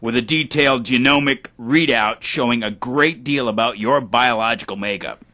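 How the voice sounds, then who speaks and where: An actor playing a pompous, precise genetic engineer in an audio drama, speaking formally. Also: male voice, mid-range voice, moderate pace, pushed and bright, lightly acted. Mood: self-important, formal. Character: self-important male genetic engineer and entrepreneur, precise, pompous.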